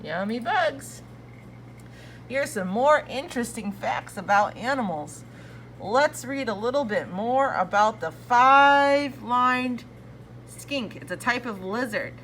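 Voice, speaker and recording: A woman reading aloud to young children like a preschool teacher, expressive and playful, drawing out key words and adding asides and questions. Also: on the high side, slow, a little hiss or hum.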